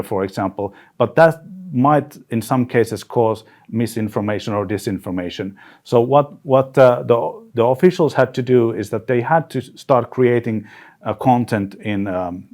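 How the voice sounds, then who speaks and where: Man, middle-aged, lowish voice, halting webinar presenter, lots of fillers and restarts. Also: darkish tone. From Finland. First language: Swedish. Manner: halting, many repeated words and fillers.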